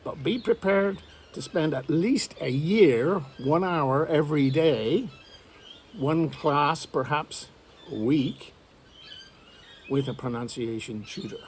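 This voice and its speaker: A man teaching English learners, talking straight to camera in a measured, advising way. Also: fairly high pitch, a little hiss or hum. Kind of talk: direct-to-camera lesson.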